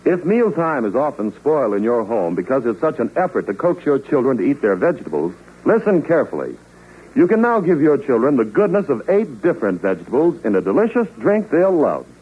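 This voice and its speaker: A man reading a commercial as an announcer on a 1940s radio show, smooth and persuasive. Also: mid-range voice, big pitch swings, fairly quick, slight background noise. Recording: tinny old radio broadcast.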